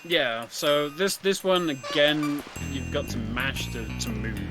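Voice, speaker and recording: Higher-voiced man co-commentating, game music behind. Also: slow pace. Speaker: speedrun co-commentator. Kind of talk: casual live game commentary. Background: video game music.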